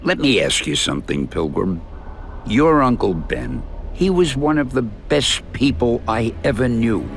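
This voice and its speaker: Gravelly, lively old mentor. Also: male voice, medium pitch, unhurried, slight background noise. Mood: gentle, encouraging. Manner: folksy, animated, encouraging. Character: kindly old male mentor, folksy and encouraging. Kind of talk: video game dramatic acting.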